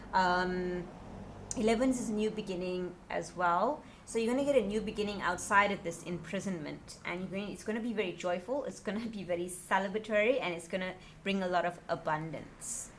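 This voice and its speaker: A woman giving a casual tarot reading to her viewers, chatty and earnest, her voice a little raspy. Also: mid-range voice, a little hiss or hum. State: slightly raspy voice. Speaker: tarot reader.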